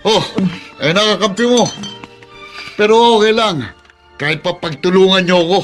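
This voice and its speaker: A bitter young Filipino troublemaker in a radio drama. His voice sits high for a man and cuts sharp and bright. He taunts and challenges like a bully looking for a fight. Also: moderate pace, soft background music. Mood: taunting. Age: young adult. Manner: taunting, confrontational.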